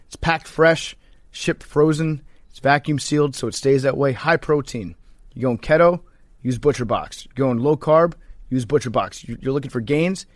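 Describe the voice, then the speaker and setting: A man's voice: medium pitch, normal speed, no room sound. Dry-miked male podcast host. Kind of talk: casual banter and host-read ad.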